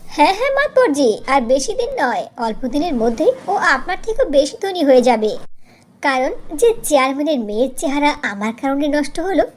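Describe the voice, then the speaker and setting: A woman's voice: high-pitched, big pitch swings, normal speed. A woman voicing a sly female accomplice who eagerly tattles and gossips in a cartoon moral story. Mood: tattling. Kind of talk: dubbed cartoon dialogue.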